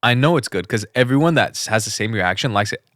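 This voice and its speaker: A man with a slightly low voice co-hosts a podcast in a very dry recording. He banters casually and jokes around. His way of talking is blunt, with casual swearing. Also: lively intonation, fast, fairly bright tone, dry recording. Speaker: podcast co-host.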